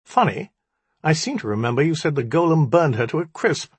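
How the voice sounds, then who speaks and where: A man's voice: fairly high pitch, big pitch swings, fairly quick, slightly rough, dry recording, voice pushed up. A man voicing a bitter, self-pitying young magician, haughty and accusing, as audiobook dialogue.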